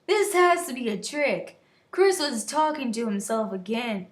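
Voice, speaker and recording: A high-pitched woman reads a story aloud at a slow pace. She gives it amateur drama, trips over words and drops into casual, giggly asides. The room around her sounds echoey. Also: expressive. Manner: giggly, stumbling over words, shouting the quoted lines. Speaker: reader of an amateur online story. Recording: roomy, echoey voice recording.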